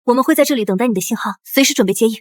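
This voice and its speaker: A woman playing a bold young princess who commands her team with self-assurance in an animated drama. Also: a little high, racing, dry recording, studio quality, natural voice. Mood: calculating. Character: bold young princess commander, money-minded, decisive and protective.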